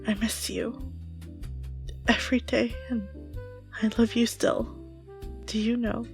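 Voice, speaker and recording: A woman playing a grieving lone survivor bidding a lost lover farewell in a tender, halting audio roleplay monologue, often dropping to a whisper. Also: a little high, flat delivery, faint music behind.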